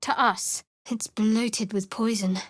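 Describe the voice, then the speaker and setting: A woman's voice: a little high, fairly even intonation, slow, dry recording, clean studio sound. A woman voicing a guarded, secretive young female cleric in a video game, speaking in a cool, wry, clipped way. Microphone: dry close studio mic.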